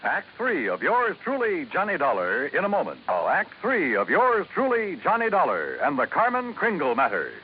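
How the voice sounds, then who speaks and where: A man's voice: fairly high pitch, wildly swooping pitch, moderate pace, hardly any pauses. A man announcing a 1950s radio drama in a period style. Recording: tinny vintage radio with hiss.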